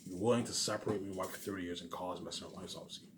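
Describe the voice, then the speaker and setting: A man's voice: sits low, steady tone, very fast, a little hiss or hum. A man in a rambling casual monologue, boastful and repetitive, with emphatic bursts that trail off. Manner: boastful, self-assured.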